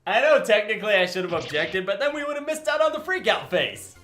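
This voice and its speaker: A man's voice: high voice, very animated, fast, a bit bright, soft background music. A man voicing a young, sarcastic, wisecracking defense lawyer in a fan-dubbed courtroom game. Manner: sarcastic, colloquial asides.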